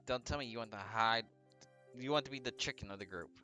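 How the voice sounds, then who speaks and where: A bright, emphatic teenage boy from a visual novel, read out by a man, taunts and bosses his friends. His voice is forceful and pointed, with music behind it. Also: mid-range voice, quick pace, lightly acted. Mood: mocking.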